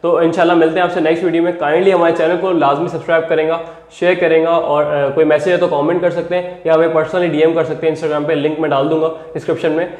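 A man's voice: a little high, level tone, fast, few pauses, darkish tone. A young man, a fitness trainer talking earnestly on a lapel mic and repeating his points for emphasis. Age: young adult. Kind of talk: home workout vlog. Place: small bare room indoors. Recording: echoey bare room.

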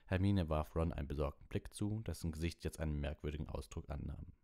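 A man's voice: rumbling low, a little fast, dark tone. A man reading a story aloud as an audiobook narrator.

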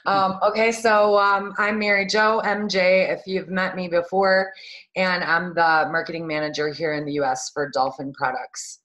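Woman, fairly low voice, chatty webinar host, lots of ums, video call mic. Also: flat delivery, moderate pace, a bit bright, low-quality recording. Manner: chatty, many ums and uhs. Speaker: marketing manager presenting a webinar. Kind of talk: casual webinar presentation.

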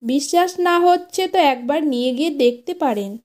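A woman's voice: high-pitched, fairly quick, darkish tone, natural voice. A woman voicing a confident young female shopkeeper in a cartoon, persuasive and assured. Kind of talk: cartoon dialogue acting.

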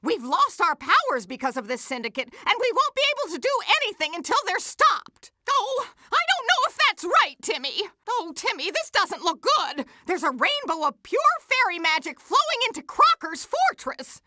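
Motherly, fretful lady fairy, cartoon game role: earnest, bright, wildly animated pitch. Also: moderate pace, hardly any pauses, no echo, studio quality, exaggerated voice. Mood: earnest, worried. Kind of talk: video game cartoon voice acting.

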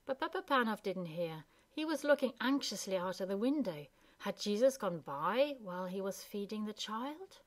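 A woman narrating a children's story as a scripted, expressive reading. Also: mid-range voice, normal speed. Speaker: storybook reader.

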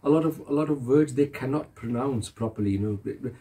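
A man's voice: medium pitch, quick pace, mellow, slight background noise. A man whose first language is Urdu, giving earnest advice at a home gathering, often repeating himself and asking if he is understood. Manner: earnest, repeats phrases, asks "you understand me?". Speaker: religious elder giving advice. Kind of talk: casual chat in a gathering. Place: carpeted room in a house, seated on the floor.

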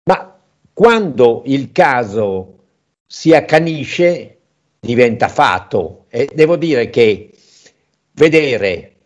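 An older man giving slow, reflective commentary over a video call, pausing and humming as he thinks. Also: medium pitch. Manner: slow, reflective, with pauses and hums. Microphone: earphone mic on a video call. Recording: dull lo-fi video call audio.

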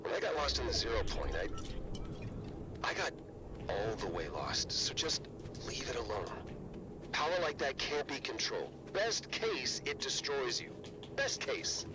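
A man's voice: mid-range voice, sing-song, a little slow, pauses often, background noise. A man voicing a wary game character who warns against dangerous power, in game dialogue. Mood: warning.

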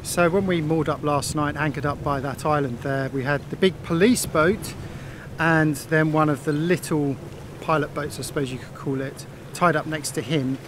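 A middle-aged man chats in a rambling, easygoing way, with plenty of fillers, while filming himself at arm's length on the deck of a sailboat. His voice sits a little high for a man. Wind and sea noise come through clearly around him. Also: fairly even intonation, normal speed. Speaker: sailing vlogger. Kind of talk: casual vlog narration. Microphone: phone held at arm's length. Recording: wind and water noise outdoors. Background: wind, waves and boat noise.

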